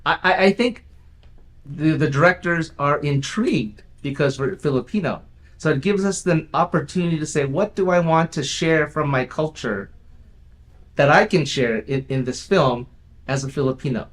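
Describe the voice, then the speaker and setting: A man's voice: on the high side, normal speed, a bit bright. Easygoing man with a raised voice. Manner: easygoing, chuckling, frequent restarts and "you know". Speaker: film company owner and actor, guest. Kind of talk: casual interview chat.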